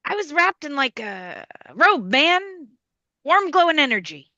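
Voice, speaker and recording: A woman's voice: sits high, very animated, measured pace, bright, forceful tone, dry recording, exaggerated voice. A woman playing a dreamy, awestruck abductee in a comedy sketch read, hesitant with wonder, in slightly dull sound. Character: dreamy, awestruck woman touched by cosmic love.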